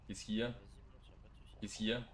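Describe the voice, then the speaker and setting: A man's voice: fairly low pitch, little pitch movement, moderate pace. A man in casual gaming roleplay banter, talking in a slangy, argumentative way. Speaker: game streamer trolling in a roleplay server.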